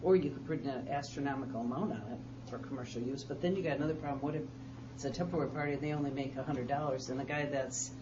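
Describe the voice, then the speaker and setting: A woman's voice: rumbling low, fairly even intonation, quick pace, few pauses. A plain-spoken woman leading an informal board meeting discussion and asking the others for their views. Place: meeting room. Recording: dull, noisy, distant meeting recording.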